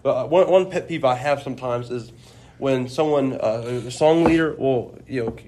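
A man's voice: medium pitch, moderate pace, noisy background. Earnest man discussing scripture. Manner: earnest, thoughtful, with many restarts. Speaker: podcast co-host. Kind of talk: casual religious discussion.

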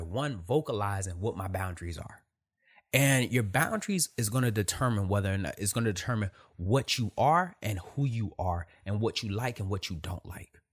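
A soft-voiced man giving a blunt, emphatic motivational talk, repeating his points. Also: on the low side, husky. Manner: emphatic, repetitive, direct with profanity.

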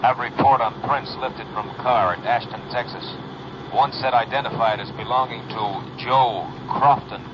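An actor playing an impersonal police radio dispatcher in a radio drama, reading reports in a formal, clipped way. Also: male voice, medium pitch, fairly even intonation, moderate pace, close to an everyday voice. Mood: official. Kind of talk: 1950s radio drama acting, dispatch bulletin. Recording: noisy, muffled 1950s radio recording.

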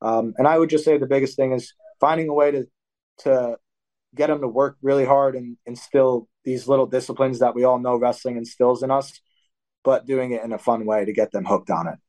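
A young man in a casual interview, speaking at an ordinary middle pitch. He explains coaching kids' wrestling in long, looping sentences, stopping to correct himself. His voice lifts when he acts out practice moments. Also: fairly quick, rough recording. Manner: rambling, self-correcting, animated when acting out drills. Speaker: wrestling coach and athlete, guest.

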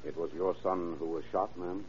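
A man plays a police detective in a vintage radio crime drama. His voice is very low, his questioning formal and courteous. The old recording is muffled. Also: steady tone, moderate pace, a little hiss or hum, lightly acted. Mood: courteous, businesslike. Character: courteous, businesslike male police detective lieutenant. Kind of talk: 1930s radio drama acting.

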